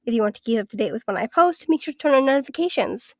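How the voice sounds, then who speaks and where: A woman with a raspy, congested voice from a recent illness, narrating a scripted analytical video essay point by point. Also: fairly high pitch, lively intonation, rapid-fire, darkish tone, dry recording, clean studio sound. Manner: structured, list-by-list evaluation.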